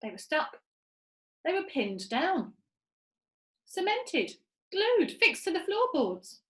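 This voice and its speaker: A woman reading a children's story aloud with expressive storytelling delivery. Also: very high voice, slow pace. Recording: echoey room.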